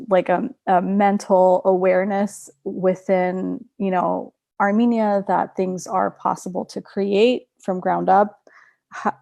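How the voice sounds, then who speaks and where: Gravelly-voiced woman on a video call. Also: medium pitch, darkish tone, no room sound. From Russia. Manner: chatty, many fillers and restarts. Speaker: guest. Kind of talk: webinar conversation.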